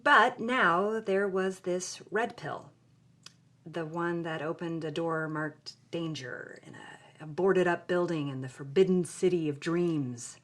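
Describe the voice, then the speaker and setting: A woman's voice: on the low side, measured pace. Candid, headstrong young woman. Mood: confiding. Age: young adult. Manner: candid, expressive. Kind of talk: audio drama acted as podcast conversation.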